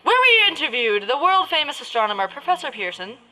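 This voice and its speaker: A woman's voice: high voice, sing-song, brisk, pushed and bright, faint music behind, full character voice, rough recording. A woman playing an exasperated, mock-formal radio interviewer who slips into crude asides, in a parody drama. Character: prim, exasperated, foul-mouthed radio news interviewer. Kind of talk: parody of 1930s radio announcing, partly improvised.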